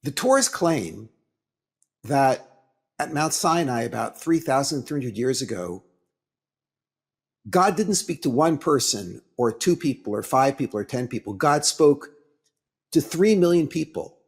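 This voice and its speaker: A man giving a religious lecture as a rabbi, with halting, deliberate phrasing and emphatic pauses. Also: mid-range voice, animated, slightly rough.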